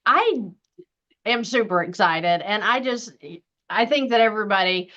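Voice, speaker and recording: A woman's voice: medium pitch, lively intonation, a little slow, pushed and bright. A woman in her fifties hosting a casual interview chat, talking in a chatty, upbeat way with some restarts. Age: 50s. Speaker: host. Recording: dull lo-fi sound.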